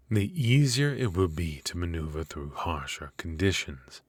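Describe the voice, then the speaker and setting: A man's voice: low-pitched, dry recording. A man voicing a cold, strict royal professor of magic, stern and clipped, with dry, condescending remarks in an ASMR roleplay.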